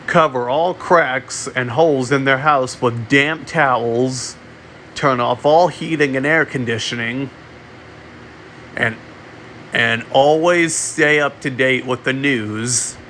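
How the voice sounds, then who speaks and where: Guy doing a fake emergency broadcast, slow n halting, repeats himself, bit of room hum behind him. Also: medium pitch, a bit bright. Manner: halting, repeats and restarts phrases. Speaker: amateur narrator of a fictional emergency broadcast. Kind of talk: mock emergency alert announcement, semi-improvised.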